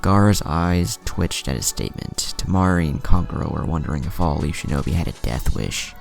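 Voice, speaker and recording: A man's voice: rumbling low, animated, a little fast, vocal fry, soft background music. A man narrating a fan fiction story, reading prose and dialogue as a storyteller.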